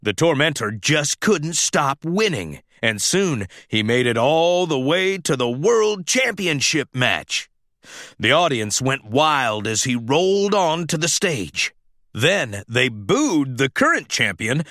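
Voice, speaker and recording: Male storybook narrator for children, higher-pitched, very expressive, unhurried, close dry sound. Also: dry recording. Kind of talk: animated scripted read-aloud narration for children.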